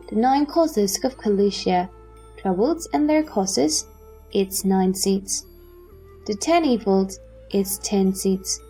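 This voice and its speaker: Woman as a wise calm bodhisattva teaching in an audio drama, really slow and deliberate, music behind. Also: medium pitch. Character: wise bodhisattva teacher, calmly expounding doctrine. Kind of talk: audio drama scripture recitation. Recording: background music under the voice.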